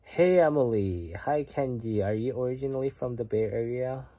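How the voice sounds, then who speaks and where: A man's voice: mid-range voice, normal speed. A young man from Korea chatting casually to his stream at night, musing aloud and sometimes dropping to a whisper. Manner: soft-spoken, musing, sometimes drops to a whisper. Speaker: livestream host reading chat. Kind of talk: casual late-night chat. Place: home at night.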